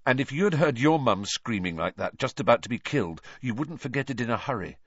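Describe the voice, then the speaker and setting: A man's voice: medium pitch, lively intonation, quick pace, a bit bright, husky, no room sound, lo-fi sound, pitched above the performer's own voice. A man voicing a brooding, bitter teenage boy hero in a novel, abrupt and intense. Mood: anguished, bitter.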